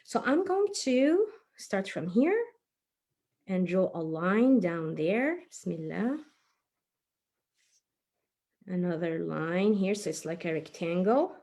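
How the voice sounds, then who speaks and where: A woman presents a craft tutorial for young children. Her voice moves animatedly up and down in pitch as she encourages her viewers. She is playful and stresses words for little listeners, talking as if she were one of the group. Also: creaky, warm tone.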